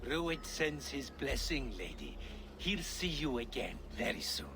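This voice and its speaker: A man's voice: medium pitch, big pitch swings, background noise. A man playing a defiant, mocking Viking raider held captive in a video game, drawing out his taunts. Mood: taunting. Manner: taunting, drawn-out, insolent delivery. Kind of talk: dramatic video game cutscene acting.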